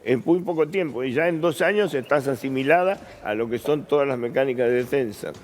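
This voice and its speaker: A man's voice: medium pitch, brisk. A man with an Argentine accent, a news presenter improvising questions and repeating words as he forms them.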